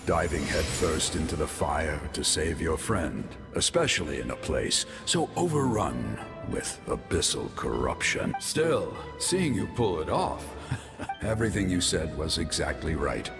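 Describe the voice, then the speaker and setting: A man's voice: very deep voice, very animated, measured pace, gravelly, exaggerated voice. A man voicing a solemn, noble ancient giant warrior in a video game, with stately, encouraging oratory. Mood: reflective. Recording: long reverb, music behind.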